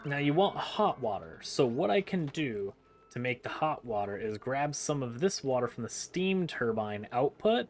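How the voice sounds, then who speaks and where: A man's voice: mid-range voice, sing-song, moderate pace. A man giving casual gameplay commentary, playfully thinking aloud and breaking into exclamations. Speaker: gaming video host.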